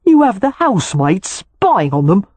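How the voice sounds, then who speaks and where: Dutiful, stiff young man, voiced by a woman narrator. Formal and proper, a touch husky. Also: medium pitch, very animated, a little slow, darkish tone, no room sound, voice pushed up. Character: young male hotel manager, dutiful and stiff.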